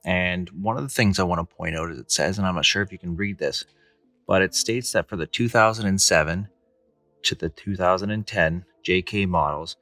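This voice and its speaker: A man's voice: low voice, pushed and bright. A man in his thirties explaining a hands-on install step by step in a casual, plain-spoken way. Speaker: host of a DIY Jeep how-to channel. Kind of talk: casual step-by-step tutorial narration. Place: indoor garage with high ceiling, in and around a car.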